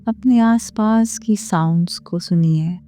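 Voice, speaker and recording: Woman doing guided meditation, soft mellow voice, really slow n soothing, bit of music behind. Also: on the low side. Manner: gentle, measured, unhurried phrasing with pauses. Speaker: meditation guide and series host. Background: background music.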